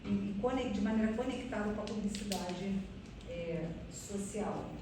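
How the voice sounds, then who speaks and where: A woman's voice: medium pitch, normal speed. A Brazilian woman speaking as a professor who is co-presenting research at an academic conference. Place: reverberant room, recorded from a distance. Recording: very echoey room, distant mic, clear noise.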